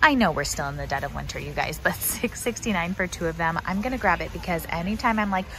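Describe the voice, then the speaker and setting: Low-pitched young American woman, chatty, noisy store. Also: animated, fast. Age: young adult. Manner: informal, chatty, addressing viewers directly. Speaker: vlogger. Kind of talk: casual chatty shopping vlog narration. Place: large indoor warehouse store. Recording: noisy warehouse store background hum.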